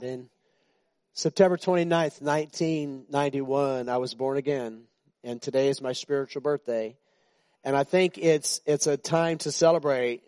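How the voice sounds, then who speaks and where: A pastor in his sixties preaches in a loose, conversational way, joking with his flock and stumbling over restarts. His voice sits a little high for a man. The sound is dry and close, with almost no room echo. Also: a little mellow, smooth. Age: 60s. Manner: chatty and joking, with frequent restarts. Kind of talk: informal sermon to a congregation. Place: church.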